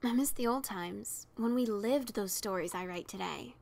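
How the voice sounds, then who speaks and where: A woman's voice: mid-range voice, normal speed, vocal fry, a little mellow. A woman voicing a brash, boastful adventurer mare pony with a scratchy voice and a teasing manner. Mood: sheepish. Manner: cocky, giggly teasing. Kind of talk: audiobook character reading.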